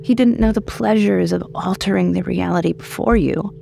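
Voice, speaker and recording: A woman's voice: lowish voice, normal speed, creaky, mellow. A woman narrating scripted horror fiction in a dramatic, wry storytelling manner. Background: faint music.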